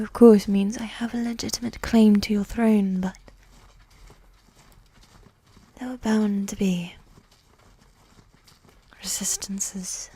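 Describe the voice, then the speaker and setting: A woman playing a regal, seductive, coolly manipulative evil queen in a medieval ASMR roleplay, speaking in a soft, breathy whisper with formal, measured phrasing. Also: medium pitch. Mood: composed, weary. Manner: slow, measured, formal, with long pauses.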